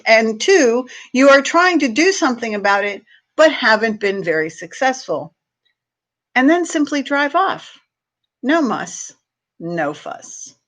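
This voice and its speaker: A woman's voice: fairly high pitch, a bit bright. A woman reading a humorous piece aloud as a library host, with playful, theatrical emphasis. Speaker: library staff reader hosting a read-aloud. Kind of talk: expressive read-aloud of a humorous short piece.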